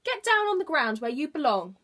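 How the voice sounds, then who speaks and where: A woman's voice: high-pitched, very animated, fairly quick, a bit bright, voice pushed up. A woman voicing an irritated, bossy mother bird with a scolding squawk, in a storybook reading.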